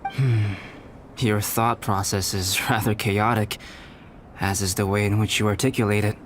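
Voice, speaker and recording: A man voicing a calm, cerebral young alchemist in a video game, speaking in a measured, formal and coolly polite way. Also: lowish voice, a little hiss or hum, close to an everyday voice. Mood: dryly amused.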